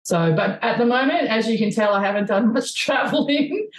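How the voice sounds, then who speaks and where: A woman's voice: on the high side, expressive, normal speed, roomy sound, low-quality recording. A woman with a broad Australian accent, chatting as a guest and telling rambling stories full of ums. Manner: rambling storyteller, laughs easily, many ums. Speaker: guest musician. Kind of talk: casual conversation.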